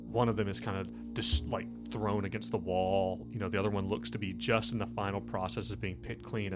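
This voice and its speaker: A man narrating a tabletop horror game as game master, describing things in a halting, conversational, improvised way. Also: lowish voice. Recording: music playing under the voice. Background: background music.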